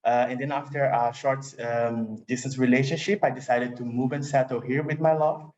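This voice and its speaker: A man's voice: medium pitch, fairly even intonation, moderate pace, darkish tone, highs cut off. A man from Brazil with a Brazilian Portuguese accent, a recruiter presenting a webinar in an upbeat way and speaking to the audience as "guys". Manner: upbeat, addresses the audience as "guys", slightly non-native grammar.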